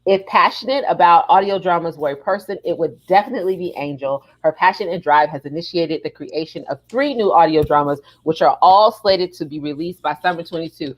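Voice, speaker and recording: A woman hosting a casual live podcast, chatting and reading aloud in an expressive, emphatic way. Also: on the low side, a little fast, hardly any pauses, low-quality recording. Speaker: podcast host.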